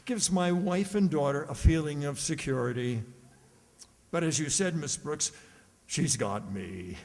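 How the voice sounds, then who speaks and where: A man's voice: fairly high pitch, animated, measured pace, darkish tone. A man playing a stuffy, pompous middle-aged school principal in an old-style radio comedy, formal and given to hemming and hawing. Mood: confiding, pompous. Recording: echoey hall, long reverb.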